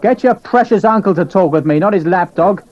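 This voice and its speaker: A man's voice: sits high, fairly quick, a little mellow, dull audio, voice pushed up. A man voicing an angry, defiant army officer who speaks in a raised, clipped voice.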